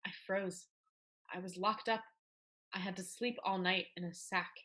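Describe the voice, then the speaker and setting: Bright-voiced defiant schoolgirl, played by a woman. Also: mid-range voice, fairly even intonation, normal speed, natural voice. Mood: aggrieved. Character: battered teenage schoolgirl, rueful and defiant. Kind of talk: dramatic reading of a period play.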